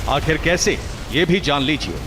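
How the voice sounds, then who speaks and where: A man's voice: on the high side, moderate pace. A man reading a dramatic scripted news voice-over in an emphatic, rhetorical style. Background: prominent music.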